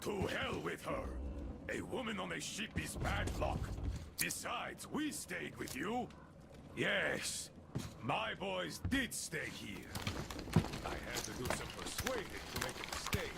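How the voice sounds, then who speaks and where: A gravelly, bitter outpost leader. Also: male voice, high-pitched, big pitch swings, slow pace, heavy background noise, full character voice. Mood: muttering. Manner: ranting, mocking, scornful. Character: embittered wounded male outpost leader. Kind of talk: video game cutscene.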